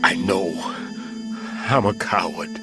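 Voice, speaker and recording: A remorseful older bomb-disposal expert in a game cutscene, speaking low and heavy. His delivery is grave and measured, and a steady score hums behind the studio-clean voice. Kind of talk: video game cutscene acting. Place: recording studio. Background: game score music.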